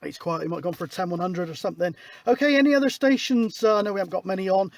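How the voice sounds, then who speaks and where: High-pitched man on CB radio. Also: lively intonation, racing, creaky, background noise. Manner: informal, matey. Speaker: CB radio operator, host. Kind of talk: casual radio chat. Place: outdoors at a hilltop spot, mobile radio setup.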